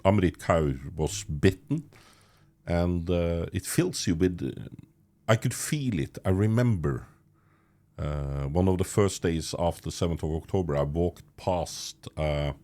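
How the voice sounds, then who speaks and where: A man's voice: deep voice, animated, a little mellow. A man hosting a podcast interview, speaking English with many restarts and little chuckles. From Norway. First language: Norwegian. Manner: halting, many restarts, chuckles mid-sentence. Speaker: podcast host. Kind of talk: conversational interview.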